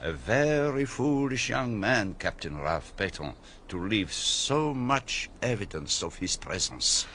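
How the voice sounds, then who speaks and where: Polite foreign detective in a radio play, lowish voice, slow careful probing questions, some noise behind. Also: male voice, big pitch swings, pushed and bright, exaggerated voice. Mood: politely skeptical. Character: courteous, inquisitive, methodical foreign detective. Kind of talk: radio drama acting.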